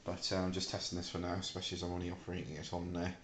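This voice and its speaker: A middle-aged British man casually demonstrating a homemade device in a hesitant, halting, unscripted way. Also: low voice, little pitch movement. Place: small hard-walled room indoors. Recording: echoey room, clicks and faint hiss.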